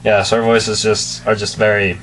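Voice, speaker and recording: A man's voice: lowish voice, flat delivery, unhurried, a bit bright, slight background noise, rough recording. An eighteen-year-old man chatting casually as a co-host, with sarcastic remarks. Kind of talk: casual banter. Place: small bedroom, indoors at night.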